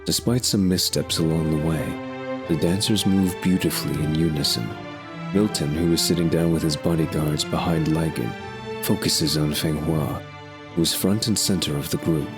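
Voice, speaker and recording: A man's voice: very deep voice, fairly even intonation, moderate pace, over music. A man narrating a scripted audio drama in a descriptive storytelling way.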